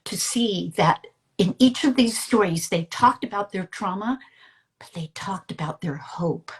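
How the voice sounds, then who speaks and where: A woman's voice: mid-range voice, expressive, measured pace. A woman speaks as an interviewer in an informal conversation. She is earnest and emphatic, with a mid-range voice, and she breaks her thoughts into short phrases as she shares personal experience. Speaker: interviewer and storyteller who works with teens.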